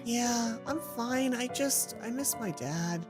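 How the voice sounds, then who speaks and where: A woman's voice: on the high side, lively intonation, slow, music in the background, voice pushed up. A woman voicing an earnest, emotional, sweet schoolgirl heroine in a visual novel, in a heartfelt way. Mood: holding back tears.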